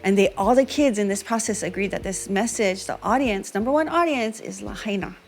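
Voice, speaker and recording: A woman's voice: medium pitch, fairly quick. A woman from Hawaii who leads music workshops, speaking reflectively in a documentary interview and mixing Hawaiian words into her English. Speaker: music teacher and workshop leader.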